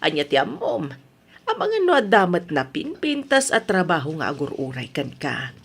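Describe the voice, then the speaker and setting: A woman's voice: low-pitched, huge pitch swings, normal speed, breathy edge, full character voice. An actress with an Ilocano accent playing a concerned, insistent mother of a grown son in a radio drama.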